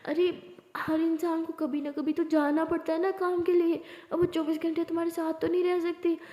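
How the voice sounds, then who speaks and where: A woman voicing a bossy but caring young college friend in a story, brisk, scolding and coaxing. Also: extremely high pitch, flat delivery, warm tone, pitched above the performer's own voice. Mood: soothing, firm. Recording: echoey room with long reverb.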